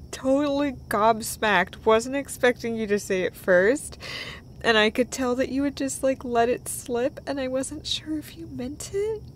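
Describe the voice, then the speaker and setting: A woman playing a tender, emotional, self-deprecating girlfriend in an intimate romantic audio roleplay, speaking in halting, breathy phrases. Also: fairly high pitch, slight background noise. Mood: nostalgic, flustered. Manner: halting, breathy phrases broken by small laughs.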